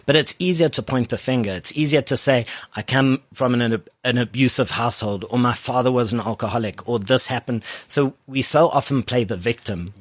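A man's voice: mid-range voice, brisk, few pauses, no echo. Earnest motivational man, dry studio sound. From born in South Africa, raised in Germany. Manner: earnest, speaks in catchy sayings. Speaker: guest, author and coach. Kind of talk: motivational interview answers.

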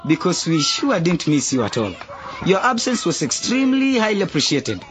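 High male voice, sneering teenage team bully, arrogant, scornful, swooping pitch, radio drama, noisy background. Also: wildly swooping pitch, moderate pace, fairly bright tone. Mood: taunting. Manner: taunting, scornful. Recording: noisy outdoor ambience.

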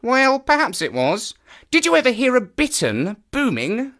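A man voicing an eager naturalist of the moors, very animated swooping pitch, bright forward tone, audio drama. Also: a little slow, heavily acted. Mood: musing. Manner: animated, enthusiastic explaining. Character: eager male naturalist of the moors, lively and knowing.

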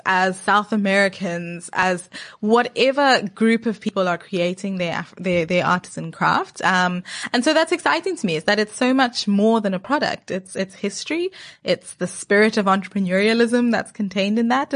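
A woman's voice: mid-range voice, fairly bright tone. A young woman from Zimbabwe, an executive answering interview questions in an articulate, enthusiastic way. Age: young adult. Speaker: business executive guest.

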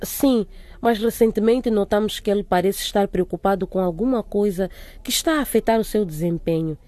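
An actress playing a firm but kind school principal, speaking in a calm, authoritative manner. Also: female voice, medium pitch, brisk, no echo, lightly acted. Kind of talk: radio drama acting.

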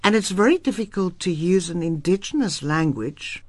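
A South African woman whose first language is Afrikaans, speaking with firm emphasis and a lively, rising-and-falling pitch. The sound is dry and close, with no room echo. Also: moderate pace. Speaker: interview guest, Afrikaans writer. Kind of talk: radio interview.

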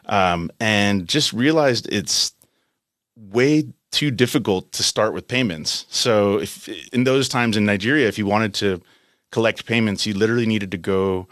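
A man's voice: lowish voice, measured pace, a bit bright, no echo. A man in his late thirties with an American accent, talking casually as an interview guest in a studio. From United States, California. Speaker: interview guest, company country head.

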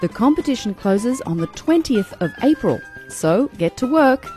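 A female radio host with a mellow, mid-pitched voice reads a scripted announcement. Her intonation is lively, and faint music sits underneath. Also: moderate pace.

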